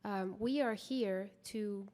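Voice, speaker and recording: A woman from Guatemala, a singer talking earnestly and gratefully to a concert audience between songs, with many pauses. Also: mid-range voice, dark tone. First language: Spanish. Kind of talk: between-song stage talk. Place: concert stage in a large hall. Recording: live hall reverb with guitar behind.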